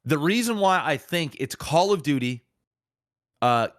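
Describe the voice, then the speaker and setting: A man's voice: on the high side, lively intonation, measured pace, no room sound. Emphatic, slangy male podcast host, dry sound. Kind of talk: casual opinionated podcast chat.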